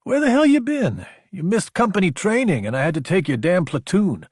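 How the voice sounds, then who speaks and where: Man doing a brash young army buddy, pitched up. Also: expressive, moderate pace, darkish tone, husky, no room sound, studio quality. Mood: indignant. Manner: blunt, exclaiming, slangy. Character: brash, younger military lieutenant, teasing and profane buddy.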